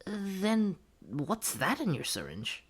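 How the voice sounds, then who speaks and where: A woman's voice: mid-range voice, huge pitch swings, slow pace, husky, heavily acted. A woman voicing a betrayed, vengeful test subject in a horror audio roleplay, coaxing and menacing, with hurt close to the surface. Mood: suspicious.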